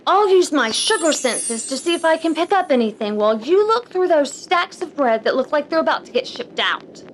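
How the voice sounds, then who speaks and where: An earnest, prim superheroine, as a woman acts her, speaks in a high, very lively voice. She sounds heroic and instructive, in old serial style, with faint noise behind. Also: normal speed. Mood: confident, alert. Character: wholesome, earnest cartoon superheroine.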